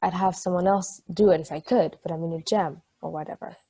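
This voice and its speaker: A woman acts out a playful married former athlete in a stage play read. Her voice is slightly low, soft-edged and husky. She chats loosely and eagerly, swearing casually as she spins out a thought. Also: a little fast. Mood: chatty storytelling. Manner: casual, swearing, animated storytelling. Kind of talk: table read of a stage play, natural conversational acting.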